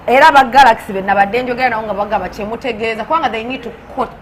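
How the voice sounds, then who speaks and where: A woman's voice: fairly high pitch, very fast. A blunt, outspoken woman in her thirties, raised in the UK, talking as an interview guest. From United Kingdom. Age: 30s. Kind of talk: gossip interview, mixing Luganda and English. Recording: noisy background hiss.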